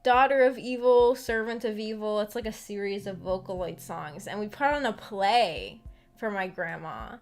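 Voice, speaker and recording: A young adult woman livestreaming in a casual, halting, rambling chat with her viewers over background music. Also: mid-range voice, animated. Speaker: streamer hosting a visual novel book club.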